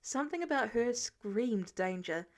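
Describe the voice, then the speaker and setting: Woman with a highish voice reading out fanfic, expressive storyteller delivery. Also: unhurried. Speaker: podfic narrator reading fan fiction.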